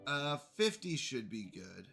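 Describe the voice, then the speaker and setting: A man's voice: medium pitch, very animated, unhurried, gravelly, over music. A man with a congested voice chatting casually to viewers while playing a strategy game on a live stream. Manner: chatty, greets chat viewers.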